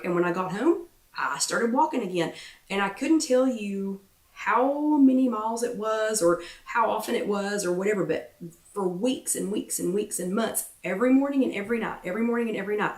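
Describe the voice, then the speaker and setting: A woman with a croaky voice talks casually to her viewers as a workshop host, piling on repeats and ums. She sits back from the mic. The room adds a noticeable echo. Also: mid-range voice, moderate pace, distant mic. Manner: chatty, repeats herself for emphasis, many ums. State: voice getting croaky. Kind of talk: casual motivational chat to viewers. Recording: roomy echo, away from the mic.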